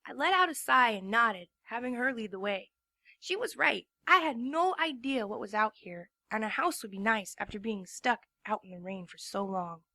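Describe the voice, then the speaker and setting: A woman's voice: on the high side, lively intonation, moderate pace, pushed and bright, no room sound. Woman reading a story in a dry voice. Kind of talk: story narration recap.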